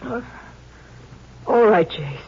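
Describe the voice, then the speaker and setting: A woman playing a grieving, hospitable sheriff's widow in a 1950s radio drama, with an American accent and a halting, folksy manner. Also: mid-range voice, lively intonation, slight background noise. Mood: hesitant, trusting. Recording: very muffled, thin old radio recording.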